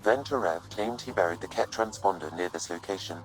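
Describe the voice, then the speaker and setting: An actor playing a calm, precise artificial intelligence in a video game, formal and unemotional. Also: male voice, low voice, brisk, faint music behind, close to an everyday voice, poor audio. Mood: calm, factual. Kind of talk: video game cutscene acting.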